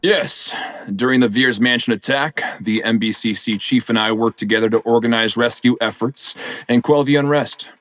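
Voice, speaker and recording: An actor playing a smooth-talking politician who gives interviews and campaign speeches in a game. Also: male voice, mid-range voice, normal speed, fairly bright tone, no room sound, close to an everyday voice. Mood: composed. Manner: rousing, oratorical. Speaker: election candidate. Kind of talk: game cutscene voice acting.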